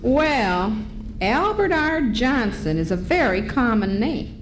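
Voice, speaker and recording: A homely, gently skeptical mother from an old-time radio comedy. Her folksy voice is a little high and very animated, heard in a noisy, echoing room. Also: takes it slowly. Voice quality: folksy, colloquial speech. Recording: echoey, noisy ballroom recording.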